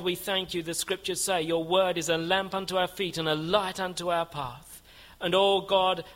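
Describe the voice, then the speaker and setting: Man, preacher, earnest, measured, fervent in prayer, bright tone, church. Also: fairly high pitch. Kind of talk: sermon with prayer. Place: church building.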